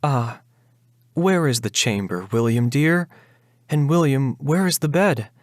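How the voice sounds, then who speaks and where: A man's voice: medium pitch, measured pace, dry recording, clean studio sound, voice pushed up, natural voice. A man voicing a grieving young bride in a Gothic ballad, yearning, anxious and tender, with plaintive, pleading, archaic speech. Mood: anxious, questioning. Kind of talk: dramatic Gothic ballad recitation.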